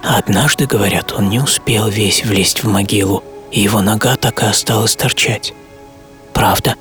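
An actor playing an obsessive middle-aged entrepreneur in a dramatic first-person radio monologue, speaking theatrically with pauses and half-whispered phrases. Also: male voice, lowish voice, a bit bright, close to an everyday voice. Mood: hushed, eerie. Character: obsessive middle-aged entrepreneur and treasure hunter recalling boyhood. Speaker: author and performer of a radio programme. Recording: music under studio voice.

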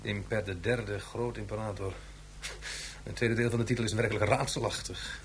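An actor playing a puzzled, musing investigator in a science-fiction radio drama, drawing out phrases and questioning them. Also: male voice, fairly low pitch, fairly even intonation, moderate pace, slight background noise, natural voice. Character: puzzled, pondering male investigator.

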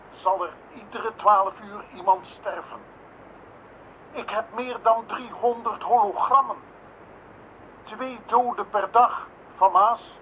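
A man playing a cold, deliberate villain who threatens a police inspector in an audio drama. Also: high-pitched. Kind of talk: Dutch audio drama acting. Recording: thin phone-like sound, faint noise.

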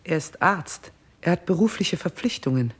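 A woman playing a stern, composed queen in a 1960s German radio play, speaking in a measured, solemn, commanding way. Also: fairly low pitch, animated, breathy edge. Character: stern, composed queen guiding a king toward death.

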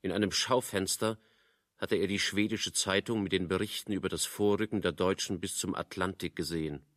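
Male narrator, very low pitch, literary scripted reading, radio play, dry studio sound. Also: fairly even intonation, brisk, a bit bright, dry recording.

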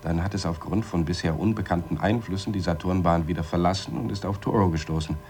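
An actor playing a matter-of-fact space lab astronaut in a radio drama, explaining in a measured, dryly sarcastic way. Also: male voice, low voice, darkish tone, slight background noise. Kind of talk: radio drama acting, spoken report.

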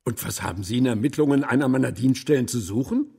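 An actor playing an authoritarian police chief in a radio drama, sharp and reprimanding, with a gravelly voice. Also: male voice, mid-range voice, expressive, fast talker, big-room sound. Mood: stern. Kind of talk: German radio drama acting.

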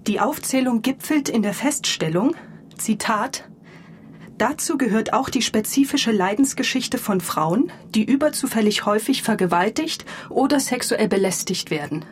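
A woman's voice: on the high side, expressive, normal speed. A woman reading out a quoted text and commenting on it. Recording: slightly noisy recording with room ambience.

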